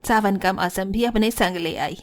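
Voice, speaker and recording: A woman voicing a lively older aunt-in-law, cheerful, bossy and teasing, in a cartoon family story. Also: mid-range voice, moderate pace, lightly acted. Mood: delighted. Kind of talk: cartoon family drama acting.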